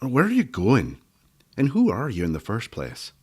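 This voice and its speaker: A man voicing a cranky old female gnome healer who scolds and bosses in a sharp, snappish way. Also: medium pitch, wildly swooping pitch, normal speed, slightly rough. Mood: brusque.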